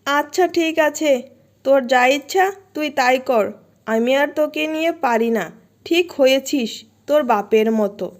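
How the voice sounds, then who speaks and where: A woman's voice: high-pitched, normal speed, natural voice. A woman voicing an exasperated but indulgent animal mother in a cartoon fairy tale, scolding yet giving in. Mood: resigned.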